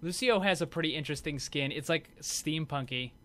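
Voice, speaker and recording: A man's voice: on the high side, fairly quick, pushed and bright. A man giving casual, unscripted commentary as host of a gaming video. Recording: clear background noise.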